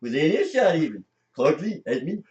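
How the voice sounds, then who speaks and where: A man's voice: a little high, sing-song, normal speed, darkish tone. A man playing a blunt, impatient spaceship companion in an amateur comic radio play. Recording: dull, roomy, distant mic.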